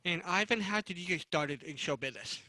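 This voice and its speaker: A man moderating a panel, asking guests questions in a halting way with repeated words. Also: high voice, lively intonation, pushed and bright, no room sound. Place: remote virtual convention call.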